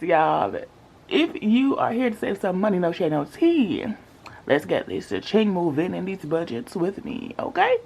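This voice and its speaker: Young woman vlogger, sleepy morning voice, playful and chatty, faint noise in the background. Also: fairly low pitch. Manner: playful, animated, talks to viewers as y'all. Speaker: budgeting vlogger. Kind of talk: casual chatty vlog.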